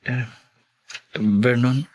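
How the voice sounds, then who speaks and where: A man's voice: medium pitch, fairly even intonation, drawn-out words, darkish tone. A man playing an eager, carefree young provincial man in a radio drama, exclamatory in manner. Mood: pleading. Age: young adult.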